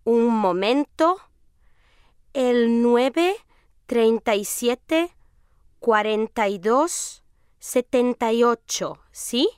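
A woman's voice: fairly high pitch, lively intonation, drawn-out words, long pauses, a little mellow, clear, resonant tone, no echo, clean studio sound. A woman playing a friendly young woman in a scripted language-lesson dialogue, speaking carefully. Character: friendly young woman from Madrid, aged nineteen.

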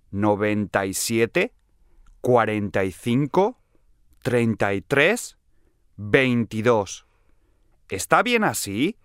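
A man playing a friendly young man in a scripted language-lesson dialogue, clearly enunciated. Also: medium pitch, dry recording, clean studio sound. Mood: friendly, attentive. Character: friendly young man from Salamanca, aged twenty-three.

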